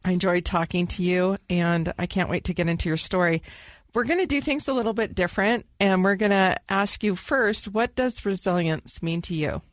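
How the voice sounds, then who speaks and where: A woman's voice: fairly low pitch, normal speed, no echo, studio quality. A woman co-hosting a podcast, upbeat and chatty as she talks with a guest. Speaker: podcast co-host. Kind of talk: casual interview talk.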